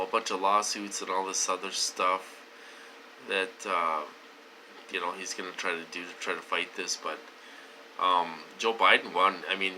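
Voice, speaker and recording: A man's voice: low-pitched, pushed and bright, a little hiss or hum, poor audio. A man talking casually to his viewers, wheezy and short of breath, rambling with many fillers and restarts. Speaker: vlogger. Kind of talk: casual talk to camera.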